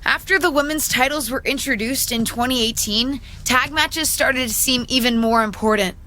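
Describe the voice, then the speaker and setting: A woman's voice: high-pitched, moderate pace, sharp tone, slight background noise. An actress playing an eager, plucky young female pro wrestler in a video game cutscene, speaking sincerely. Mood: reflective.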